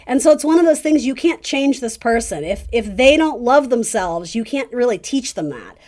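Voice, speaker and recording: A woman's voice: on the high side, animated, normal speed, darkish tone. A woman hosting a casual tarot reading, talking directly to the listener with encouraging, conversational advice. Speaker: tarot reader and video host.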